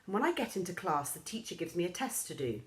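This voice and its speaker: A woman reading a children's story aloud in an expressive storybook style, acting out the characters' lines. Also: quick pace. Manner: lively, acts out characters' lines with raised pitch.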